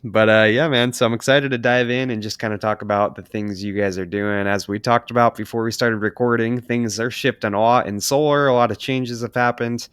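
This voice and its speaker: A man's voice: mid-range voice, steady tone, fairly quick, hardly any pauses, a bit bright, clean tone, dry recording. A man hosting a podcast, asking long, rambling questions packed with fillers. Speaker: podcast host. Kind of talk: casual podcast interview.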